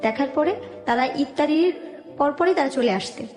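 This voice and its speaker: Female interview guest, Dhaka Bangladeshi accent, slightly high pitch, conversational storytelling, roomy sound. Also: lively intonation, moderate pace. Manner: colloquial, detailed, quotes past conversations. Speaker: guest telling own life story. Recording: roomy voice with music underneath. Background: background music.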